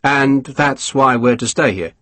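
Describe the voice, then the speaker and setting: A man voicing a terse army lieutenant who answers in an even, clipped, quietly insolent way, reading for an audiobook. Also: mid-range voice, measured pace, no room sound, close to an everyday voice. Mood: guarded. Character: terse, level-voiced male army lieutenant. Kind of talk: audiobook character voice.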